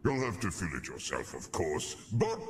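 An actor playing a pompous, decadent alien emperor in a video game, with a gravelly, booming, theatrical voice that slides down to whispers as he savors his words. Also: sing-song, normal speed, slight background noise. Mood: fond. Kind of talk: video game cutscene dialogue.

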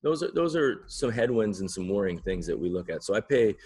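Low-pitched American man, casual storyteller. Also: very animated, a little fast, darkish tone, breathy edge. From American Midwest. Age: middle-aged. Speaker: interview guest, winery founder. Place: indoor room at home, on a video call.

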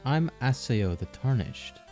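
A man's voice: mid-range voice, animated, a little slow, mellow, faint music behind, lightly acted. A man voicing a young wandering warrior in a comic dub, easily startled, sarcastic and exasperated in a casual way. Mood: hesitant. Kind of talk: animated comic dub acting.

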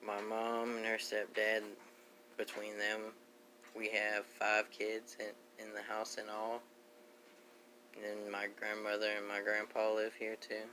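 A young man giving a casual, halting, low-key spoken introduction to a self-taped audition. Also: monotone, pushed and bright, a little hiss or hum, poor audio. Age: young adult. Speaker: amateur singer auditioning. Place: small room at home, indoors. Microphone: phone at arm's length.

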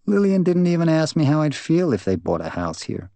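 A man's voice: a little high, big pitch swings, brisk, creaky, dark tone, no room sound, pitched above the performer's own voice. A man voicing a blunt, resentful older woman in an audiobook, scornful and sullen. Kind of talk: dramatised audiobook dialogue.

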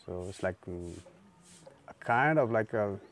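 A man in his thirties who owns a guesthouse, answering interview questions outdoors in a relaxed and halting way. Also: lowish voice, a little hiss or hum. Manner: relaxed, halting, with many fillers. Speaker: guesthouse owner. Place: outdoor garden by a lake.

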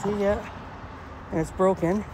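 A man in his forties with a fairly high voice chats casually to a handheld camera. He is plain-spoken and pauses with hesitations. Clear traffic and outdoor noise sits around his voice. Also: fairly even intonation. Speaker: vlog host, magnet fishing father. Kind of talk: casual outdoor vlog chat. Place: outdoors, bridge by a road and later outside at home. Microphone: handheld camera or phone. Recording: noisy outdoor traffic ambience.

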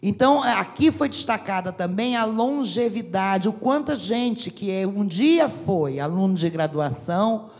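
A woman's voice: mid-range voice, lively intonation, unhurried, a little mellow. A middle-aged woman with a Brazilian Portuguese accent giving a formal opening speech at a panel table on a handheld mic, hesitant with many fillers and restarts. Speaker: academic association representative. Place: large auditorium, seated at a panel table. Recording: long hall reverb.

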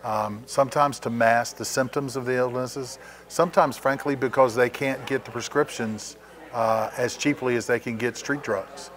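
Middle-aged man, halting and measured. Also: mid-range voice, steady tone, fairly bright tone. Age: 50s. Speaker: police captain, crisis team coordinator. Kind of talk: interview remarks.

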